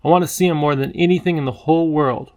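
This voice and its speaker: Man as a tearful little girl, pitched up. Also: a little fast, close to an everyday voice. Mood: wailing, imploring. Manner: tearful, imploring. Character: emotional, pleading, earnest little girl.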